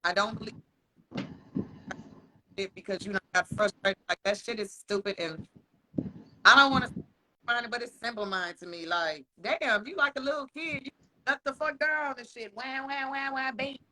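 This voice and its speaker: A man co-hosting a podcast in casual chat. He talks frankly and swears a lot, laughs often, and slows down to stress his points. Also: very high-pitched, bright, forceful tone, poor audio. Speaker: podcast co-host.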